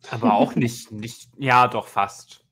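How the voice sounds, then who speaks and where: A man's voice: medium pitch, fairly even intonation, slow pace. A man in his twenties hosting a casual book chat over a video call. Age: 20s. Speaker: livestream host. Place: home room with bookshelves, indoors. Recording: loud noise, clicks, video-call audio.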